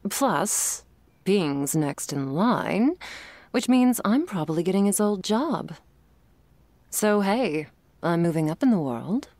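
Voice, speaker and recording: A woman playing an affectionate, wry girlfriend in an intimate ASMR roleplay, talking softly and teasingly to her sleepy partner. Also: medium pitch, lively intonation, takes it slowly, dry recording. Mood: wry, tired. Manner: soft, teasing, drifting between whispers and playful chatter.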